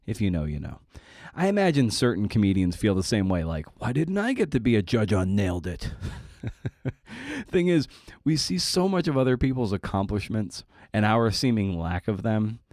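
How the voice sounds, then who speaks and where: Low-voiced man, candid podcast monologue. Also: sing-song, normal speed, darkish tone, no room sound, studio quality. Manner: self-deprecating, rambling, profane. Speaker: podcast host.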